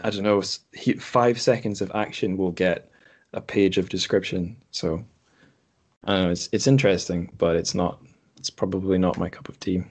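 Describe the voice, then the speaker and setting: A man's voice: low voice, fairly even intonation, moderate pace, a little mellow, husky. A man hosting a podcast in casual, unscripted chat, hesitant and correcting himself as he thinks aloud. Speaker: podcast host. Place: room in a home.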